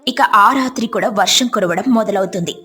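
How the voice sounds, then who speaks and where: A woman's voice: mid-range voice, a little fast, bright, forceful tone, soft background music. A woman narrating a scripted devotional moral story as a storyteller.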